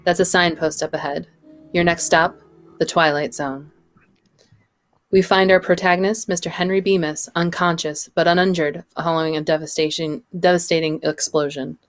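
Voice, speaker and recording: A woman reading as the solemn, mysterious narrator of an eerie radio play, measured and portentous. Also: fairly low pitch, steady tone, faint music behind, close to an everyday voice. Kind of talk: scripted narration in a radio play table read.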